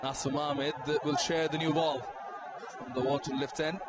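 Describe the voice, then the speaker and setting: A man doing live cricket commentary, with his calls rising in excitement on big shots. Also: a little high, normal speed. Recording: loud stadium noise behind.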